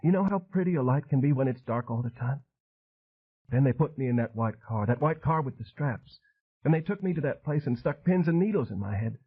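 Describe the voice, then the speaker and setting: A man voicing an unstable madwoman with a high, trembling voice, fragile and fervent. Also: lively intonation, a little fast, breathy edge, phone-quality sound. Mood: excited, rambling.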